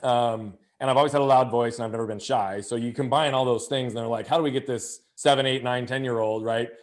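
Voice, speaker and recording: A man in his thirties, a podcast guest and coach, telling his story in a candid, energetic way, often restarting his sentences. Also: mid-range voice, fast. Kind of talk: conversational interview storytelling.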